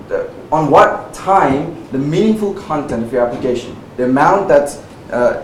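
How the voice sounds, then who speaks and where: A man from Nepal, in his thirties, speaking at a tech meetup in a relaxed, chatty way with lots of "uh" and "right?". His voice sits at a middle pitch and carries the echo of a big room. He sounds a good way from the mic, with clear background noise. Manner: conversational, frequent "uh" and "right?" tags. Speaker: conference speaker. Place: meetup venue, audience present. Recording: echoey room from far off, noisy.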